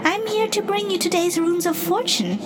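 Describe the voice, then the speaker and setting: A woman's voice: extremely high pitch, noisy background. A woman playing a sinister, playful spirit in an audio drama, teasing and theatrical as she tells fortunes. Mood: taunting, menacing. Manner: taunting, theatrical, slow and deliberate. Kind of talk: audio drama character delivery.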